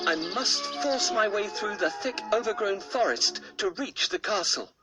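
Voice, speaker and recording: A man doing an earnest young prince, high and lively. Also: measured pace, over loud music. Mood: determined. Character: young brave determined prince.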